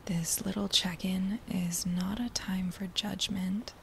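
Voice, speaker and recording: A woman guiding a body scan meditation in a soft, breathy near-whisper, with a gentle, soothing manner and unhurried phrases. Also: medium pitch, fairly even intonation. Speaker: meditation guide. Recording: faint background noise.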